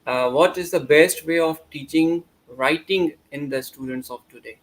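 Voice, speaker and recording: A man's voice: fairly high pitch, normal speed. A man moderating a webinar, politely reading out audience questions over a video call. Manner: polite, formal.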